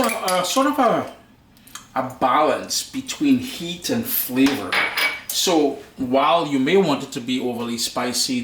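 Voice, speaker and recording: A man with a Trinidadian accent talks through a cooking lesson in an easygoing, chatty way, tossing in slangy asides. His voice sits at an ordinary middle pitch. The kitchen around him gives the recording a noticeable echo. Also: slight background noise. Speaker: cooking show host. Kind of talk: casual cooking tutorial.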